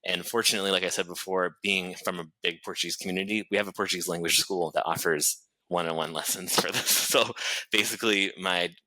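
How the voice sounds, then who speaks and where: Podcast guest, man with a Boston accent: deep, chatty, fast, lots of fillers. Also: steady tone, sharp tone, dry recording, poor audio. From New Bedford, Massachusetts, USA. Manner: rapid, chatty storytelling with many fillers and restarts. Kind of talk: casual interview chat.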